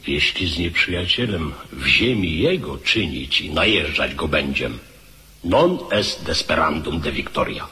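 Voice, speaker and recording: A man's voice: extremely low pitch, lively intonation, a little slow. An actor playing a grave, commanding statesman-general in a radio drama, solemn and oratorical. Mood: solemn, urging.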